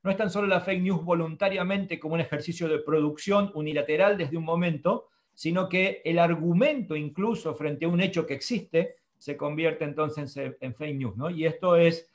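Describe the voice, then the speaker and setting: A man's voice: fairly high pitch, normal speed, hardly any pauses. A middle-aged man moderating an online academic panel over a video call, speaking formally in long, winding sentences. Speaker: webinar moderator. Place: book-lined room indoors.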